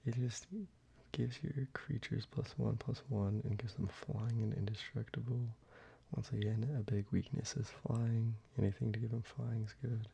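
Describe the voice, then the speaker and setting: A man whispering softly into a close microphone in a soft-spoken ASMR video, with quiet chuckles along the way. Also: fairly low pitch, fairly even intonation, normal speed, gravelly, breathy edge. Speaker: ASMR creator.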